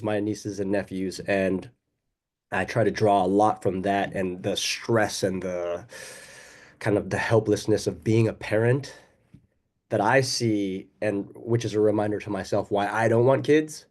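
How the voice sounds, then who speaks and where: A man's voice: on the low side, steady tone, unhurried, darkish tone. A man speaking as an actor at a festival Q&A, casual and self-deprecating, often laughing. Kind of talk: festival Q&A answer.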